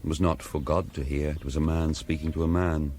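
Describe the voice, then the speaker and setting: An actor playing a proud, compassionate priest in a radio drama, speaking in a measured, eloquent way. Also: male voice, a bass voice, flat delivery, a little mellow, a little hiss or hum. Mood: grave, sorrowful.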